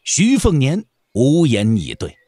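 A man's voice: medium pitch, wildly swooping pitch, takes it slowly, mellow, slightly rough, dry recording. A man narrating a scripted Chinese wuxia audio drama as storyteller.

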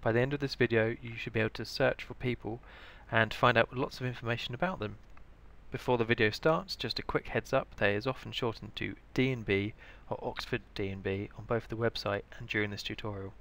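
A man's voice: mid-range voice, a little fast, gravelly, fairly bright tone. A British man giving scripted instructional narration as the presenter of a training tutorial. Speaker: information librarian, tutorial presenter.

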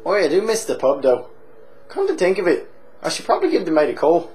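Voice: huge pitch swings, quick pace, a little mellow. A performer playing a crude, cheerful Irish drinker with a put-on Irish accent, swaggering through a comic monologue. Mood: boisterous. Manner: crude, swaggering, jumps between high squeals and low mutters. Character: rowdy boozy Irish man, crude and cheerful.

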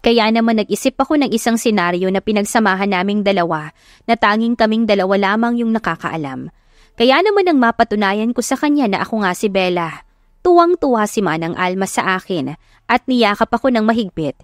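A woman's voice: mid-range voice, lively intonation, fairly quick, no room sound, studio quality. A woman with a Filipino accent giving a dramatic first-person narration of a story. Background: background music.

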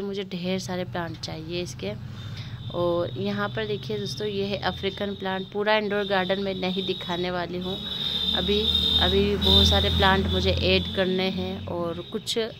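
A woman's voice: mid-range voice, a little hiss or hum. Chatty woman touring her terrace garden. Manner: chatty, unhurried, with many fillers, addresses viewers as friends. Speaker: gardening vlogger. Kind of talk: casual garden tour narration. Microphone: phone camera held while filming.

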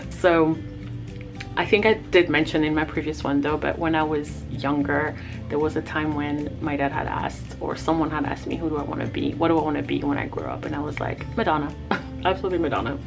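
A woman's voice: sits low, fairly even intonation, fairly quick, creaky. A woman whose first language is Lingala, from the Congo, chatting casually and reminiscing as she tells a story. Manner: chatty and reminiscing, with frequent laughs and fillers. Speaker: artist vlogger. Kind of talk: casual storytelling over a timelapse. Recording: music playing under the voice.

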